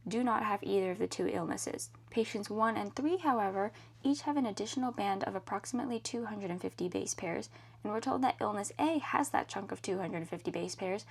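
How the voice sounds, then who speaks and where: A young woman in her teens explains lab results in a clear, methodical voice-over. Her tone is steady and her voice often dips into a gravelly fry. She sounds close to the mic. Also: medium pitch, a little fast. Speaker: science channel host.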